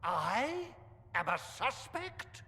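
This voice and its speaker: An actor playing a haughty, hostile church official in a video game, pompous and accusatory in delivery. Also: male voice, sits high, very animated, extremely slow, sharp tone, full character voice. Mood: indignant. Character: haughty, hostile older male church chancellor. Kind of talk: dramatic video game acting. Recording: long hall reverb.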